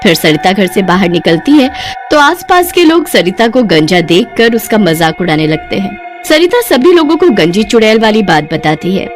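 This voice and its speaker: A woman's voice: mid-range voice, sing-song, moderate pace. Woman narrating a cartoon story over music.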